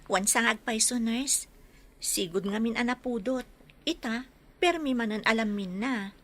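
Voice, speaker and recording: A woman acts out a dutiful village girl in a radio drama. Her voice is bright and fairly high, with a polite, helpful manner. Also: a little slow. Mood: respectful. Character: dutiful young village daughter, respectful and helpful. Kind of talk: Ilocano radio drama acting.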